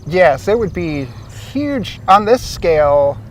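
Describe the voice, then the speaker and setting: A man's voice: sits high, very animated, slow, distant mic, slight background noise. Easygoing man explaining outdoors by a lake. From Ohio. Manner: easygoing, explains step by step with fillers. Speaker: source water protection coordinator, guest. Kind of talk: explanatory interview answers.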